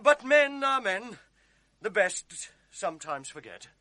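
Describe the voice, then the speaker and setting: An actor playing a scheming, cunning ensign who feigns honesty in a radio drama, smooth and insinuating. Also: male voice, high voice, very animated, takes it slowly, bright, forceful tone, dry recording, heavily acted. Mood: feigning reluctance. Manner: smooth, insinuating, urgent when raising alarm. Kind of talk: 1980s radio drama acting.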